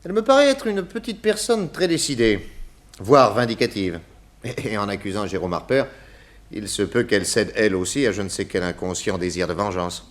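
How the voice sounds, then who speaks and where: An actor playing a brisk, courteous and wry detective boss in a 1960s French radio drama, switching between probing questions and orders. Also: on the low side, animated, lightly acted. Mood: measured, wry.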